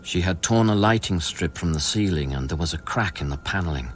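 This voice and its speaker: Radio play casting: a guilty, brooding man, deep bass register, intimate and measured, soft music underneath. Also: lively intonation. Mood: absorbed, grave. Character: brooding, guilty man soothing his troubled lover. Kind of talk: radio drama acting with introspective narration.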